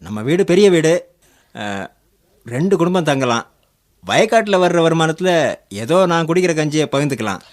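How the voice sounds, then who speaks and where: An actor playing a kind, humble villager reassuring his in-laws in a warm manner in a Tamil radio drama. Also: a little high, animated, normal speed, pauses often, natural voice. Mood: kind, encouraging. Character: kind, humble village younger brother-in-law offering shelter.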